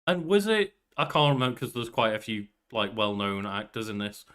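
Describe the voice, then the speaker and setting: A man's voice: on the low side, big pitch swings, normal speed, fairly bright tone. A man in his thirties co-hosting a podcast, chatting casually and feeling for his words as he goes. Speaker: podcast co-host. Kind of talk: casual chat. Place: indoors at a desk, on a video call.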